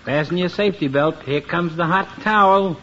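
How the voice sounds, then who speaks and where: An actor playing a slow, wisecracking small-town barber with a drawling, jokey manner, on a dull 1950s radio recording. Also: male voice, a little high, fairly bright tone, slight background noise, lightly acted. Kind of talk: 1950s radio sitcom acting.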